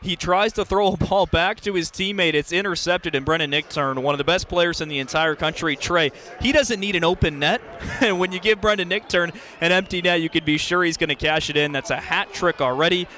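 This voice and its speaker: Higher-pitched male analyst with game noise behind. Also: fairly even intonation, brisk, pushed and bright. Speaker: color commentator. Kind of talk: live lacrosse radio analysis. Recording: clear background game noise.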